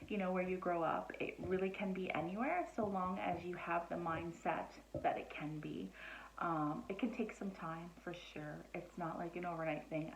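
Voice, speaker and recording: A woman in her thirties, originally from Nova Scotia, chats casually to the camera about herself. She rambles a little, with plenty of ums and self-corrections, at a middle pitch. The recording sounds roomy and a bit dull, like a home setup. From Nova Scotia, Canada. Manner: chatty and relaxed, many ums and restarts. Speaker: psychic medium introducing herself.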